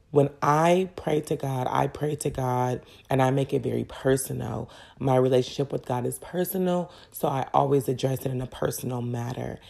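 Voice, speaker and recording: Very low, gravelly woman podcaster. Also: warm tone. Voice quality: crackly. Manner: earnest, personal, encouraging. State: congested with a cold. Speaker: podcast host. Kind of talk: faith podcast talk.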